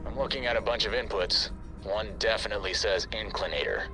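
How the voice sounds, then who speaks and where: An actor playing a steady, protective teammate in a video game, speaking in a calm, measured way. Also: male voice, on the low side, very noisy, close to an everyday voice. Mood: calm, concerned. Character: steady, protective male teammate guiding over comms. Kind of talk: cinematic video game acting. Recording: loud music behind dialogue.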